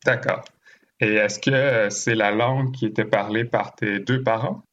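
A man from Haiti hosting a conversational interview, speaking in a halting way with frequent pauses. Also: fairly low pitch, fairly even intonation, fairly bright tone, lo-fi sound. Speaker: podcast interviewer and host. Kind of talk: conversational interview with long spoken introductions.

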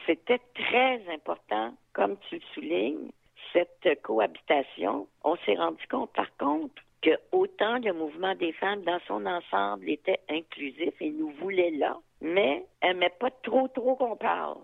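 A woman's voice: mid-range voice, expressive, measured pace, gravelly, hardly any pauses. A woman in her seventies with a Quebec French accent, speaking frankly and thoughtfully as an interviewee, with fillers and asides. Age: 70s. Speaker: interviewee, activist. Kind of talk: reflective interview talk. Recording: tinny phone line.

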